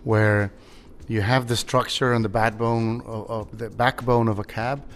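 Chilean man, halting casual talk. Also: on the low side, level tone, a little hiss or hum. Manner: halting, many restarts. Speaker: winery general manager. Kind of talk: casual conversation.

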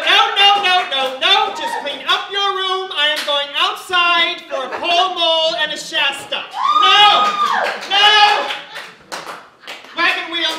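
A man playing an exasperated parent scolding a young son in a melodramatic, drawn-out shout, in a stand-up act. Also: very high-pitched, voice pushed up, heavily acted. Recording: roomy club sound, distant, audience noise.

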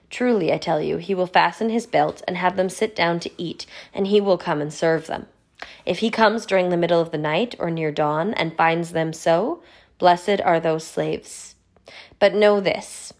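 Female scripture reader, slightly low voice, formal read-aloud delivery.

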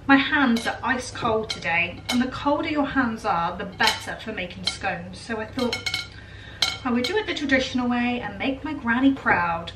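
A British woman in her thirties giving a casual, chatty cooking demonstration, informally thinking aloud as she works. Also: a little high. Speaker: home baking vlogger. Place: home kitchen, indoors. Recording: household kitchen noise, dish clatter.

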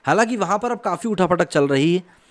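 A man's voice: high voice, lively intonation, a little fast. A man in his thirties from India presenting explanatory news commentary as a host, speaking into a close studio microphone. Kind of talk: news explainer commentary, part conversational, part scripted narration. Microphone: close desk microphone on a boom arm.

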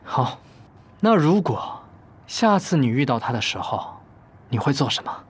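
A man's voice: mid-range voice, sing-song, measured pace, a little hiss or hum. A man in his twenties playing an aloof, suspicious young company boss in a TV drama, terse and challenging. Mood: cool, annoyed. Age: 20s. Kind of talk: naturalistic TV drama acting.

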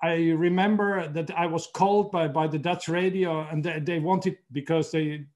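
A middle-aged man from Austria hosting a podcast interview over a video call from his home study, talking haltingly and repeating words as he searches for phrasing. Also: a little high, steady tone, a little mellow. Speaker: podcast host. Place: home room with bookshelves.

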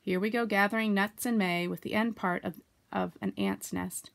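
A woman voicing a gloomy, grumbling, put-upon old male donkey from a storybook, complaining in a slow, resigned, plodding way. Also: medium pitch, steady tone, creaky, a bit bright. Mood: glum, resigned.

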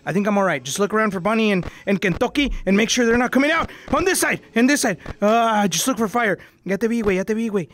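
A young man playing a VR horror game, panicking and yelling, switching between English and Spanish, and losing his voice. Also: sits high, expressive, fast, few pauses. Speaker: VR gaming YouTuber. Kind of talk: loud, frantic gameplay commentary. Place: indoor room, in front of a green screen.

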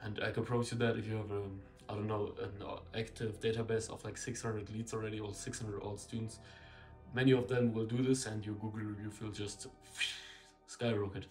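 A man's voice: lowish voice, level tone, a little fast, darkish tone, faint music behind. A young man talking to camera in a small room, rambling and blunt, full of fillers and self-corrections. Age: young adult. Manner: candid and blunt, casual swearing. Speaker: marketing consultant. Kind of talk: casual talking-head video. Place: small indoor room with a window.

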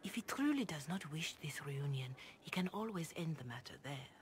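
A woman voicing a devout, compassionate older cleric in a video game, speaking in a formal, measured, earnest way. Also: deep voice, lively intonation. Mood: earnest, pleading. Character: grave, devout, compassionate older Chantry mother. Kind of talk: video game dialogue acting. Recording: clear background noise and ambience.

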